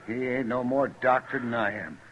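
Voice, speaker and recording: A man's voice: mid-range voice, fairly even intonation, moderate pace, a bit bright, close to an everyday voice. A man playing a stubborn, worried rancher with a folksy rural Western American accent in a radio drama. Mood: angry. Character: rough, plain-spoken rancher, worried and stubborn. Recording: dull vintage radio recording.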